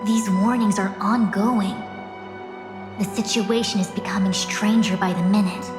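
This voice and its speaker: A woman's voice: mid-range voice, steady tone, a little slow, lightly acted. A woman voicing a composed, haughty and cryptic sage in a video game, speaking in formal, philosophical phrases. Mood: calm, cryptic. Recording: echoey hall reverb, music behind.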